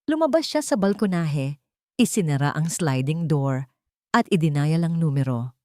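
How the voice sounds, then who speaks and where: Low-voiced woman narrating a dramatic story. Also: expressive, normal speed, a little mellow, no echo, clean studio sound. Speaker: audiobook narrator.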